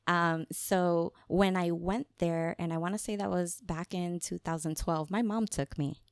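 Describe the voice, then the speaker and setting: A woman's voice: lowish voice, fairly even intonation, a little slow, smooth, no room sound, studio quality. A woman, chatting casually as a podcast guest, often laughing and slipping in Spanish phrases. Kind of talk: casual conversation.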